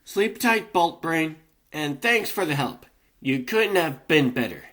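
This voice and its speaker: A man's voice: on the high side, lively intonation, a little slow, fairly bright tone. A man voicing a weary, good-natured, wisecracking rabbit comic artist who chatters wryly to himself in a cartoon comic dub. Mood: wry, muttering.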